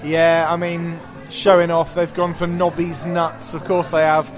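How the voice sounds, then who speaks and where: A man banters casually in a slightly high voice. His intonation stays flat, with faint music in the background. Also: measured pace.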